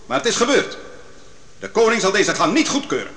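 A man's voice: high voice, big pitch swings, brisk, fairly bright tone, full character voice. An actor playing a bold, righteous man who cross-examines witnesses in a biblical radio drama, commanding and probing. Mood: indignant. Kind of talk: Dutch radio drama acting. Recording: long hall reverb.

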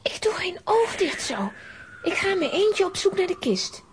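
A woman's voice: extremely high pitch, sing-song, normal speed, noisy background. A woman playing a plucky, curious, easily frightened little girl in a children's audio drama, with a dramatic, exclamatory delivery. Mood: frightened, determined.

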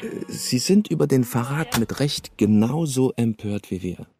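An actor in a German radio drama playing an oily, chummy, quietly menacing East German state security officer, insinuating and bureaucratic. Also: male voice, medium pitch, animated, a little slow, vocal fry, faint music behind. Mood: officious, insinuating. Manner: insinuating, bureaucratic, with knowing chuckles.

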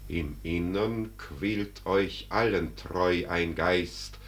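An actor playing Death as a solemn, majestic spirit, declaiming verse in a stately, commanding manner in a classic German radio drama. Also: male voice, low voice, extremely slow, fairly bright tone, exaggerated voice. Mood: stern, exhorting.

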